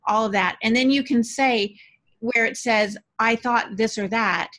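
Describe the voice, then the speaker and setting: A woman's voice: a little high, unhurried, a bit bright. A woman on a podcast panel giving practical, encouraging advice in a conversational way.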